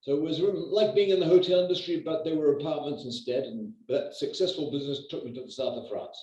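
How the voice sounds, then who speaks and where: An older man from New Zealand, a real estate agent, talking in a measured way with lots of hesitations. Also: fairly high pitch, dark tone. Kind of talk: conversational interview. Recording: echoey distant muffled video call.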